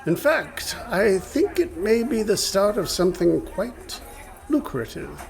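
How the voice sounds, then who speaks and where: An actor playing a genial, folksy pirate in a comic radio play. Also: male voice, sits high, big pitch swings, slow pace, vocal fry, soft background music, a faint crowd, full character voice. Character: genial rustic pirate with old-salt speech.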